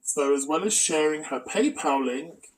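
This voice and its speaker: A man's voice: fairly high pitch, a little slow, far from the mic, low-quality recording. A man narrating a scripted exposé as a video commentator, with asides and a critical, accusatory manner.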